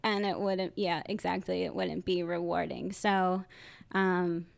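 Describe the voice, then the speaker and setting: A woman who is a social worker, talking casually in a podcast interview, halting often and laughing. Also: steady tone, vocal fry. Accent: Philadelphia. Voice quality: high-pitched by her own account. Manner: halting, frequent restarts and laughs.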